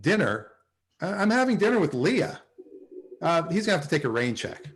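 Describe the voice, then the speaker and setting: A man acting a flustered, smitten office salesman in a sitcom table read, with a halting, excitable delivery. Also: on the high side. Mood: exasperated. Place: video call at a table read.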